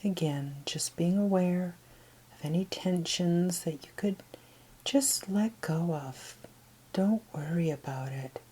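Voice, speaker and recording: A woman leading a guided meditation in a gentle, soft, breathy voice that often trails toward a whisper, with unhurried pauses. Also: fairly low pitch, poor audio. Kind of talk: guided meditation, soothing instruction.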